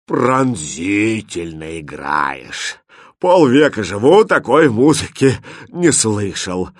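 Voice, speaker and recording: A man voicing a stout, old, blustering but kindly head cook in a thick bass for a storybook. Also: sing-song, measured pace, no echo, clean studio sound. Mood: exhausted. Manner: loud, blustering, groaning.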